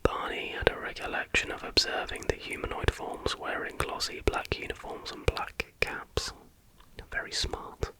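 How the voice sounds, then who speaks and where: Whispering man, hushed ASMR. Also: moderate pace. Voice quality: breathy, unvoiced whisper. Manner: hushed, informal. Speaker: ASMR host. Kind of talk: whispered ASMR reading of stories with casual asides.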